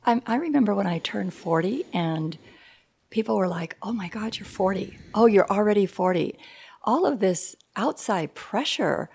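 A woman's voice: medium pitch, animated, normal speed, gravelly, darkish tone, a little hiss or hum. A woman in her sixties, a yoga instructor and life coach, reflecting earnestly in an interview and laughing lightly now and then. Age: 60s.

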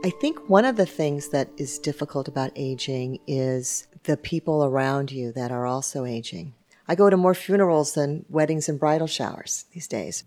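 A woman's voice: low voice, moderate pace, mellow, faint music behind. A woman in her fifties, an attorney and yoga instructor, speaking thoughtfully and matter-of-factly in an interview. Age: 50s. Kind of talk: interview reflections.

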